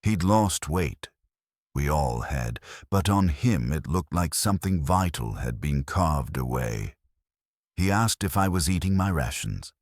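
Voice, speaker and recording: Very deep-voiced man narrating a grim story. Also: expressive, unhurried, no echo, studio quality. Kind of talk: dramatic first-person scripted story narration.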